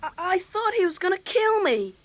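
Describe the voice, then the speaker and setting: A woman's voice: very high-pitched, big pitch swings, moderate pace, faint music behind. A woman playing an earnest, loyal teenage boy in an audio drama, pleading and urgent. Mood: shaken. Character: earnest, anxious American teenage boy, loyal karate pupil.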